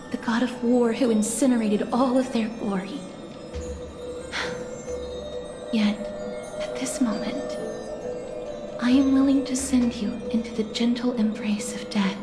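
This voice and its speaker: An actress playing a gentle, solemn young woman who guides the dying, speaking softly and politely in a video game drama. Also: on the high side, natural voice. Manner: slow, measured, polite. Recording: echoey, over background music.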